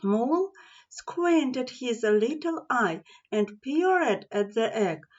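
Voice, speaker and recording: A woman narrating a scripted children's story as an audiobook reader, deliberate and expressive. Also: on the high side, muffled recording.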